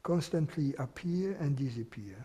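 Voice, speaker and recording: Male meditation teacher, slow and unhurried, mellow husky voice, long hall reverb. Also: fairly high pitch, expressive. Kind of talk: guided meditation.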